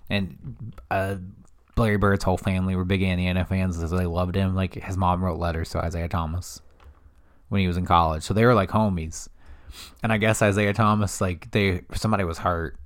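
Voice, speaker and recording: A young man co-hosting a podcast, chatting casually and telling lively, profane stories. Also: low-pitched, fairly quick. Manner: animated storyteller, swears freely. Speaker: podcast co-host. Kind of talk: casual chat.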